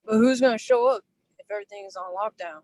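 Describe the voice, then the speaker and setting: Man reading as a high-voiced young boxer. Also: fast, darkish tone, natural voice, low-quality recording. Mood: doubtful. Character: questioning young male boxer in training. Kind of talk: casual table read of a film script.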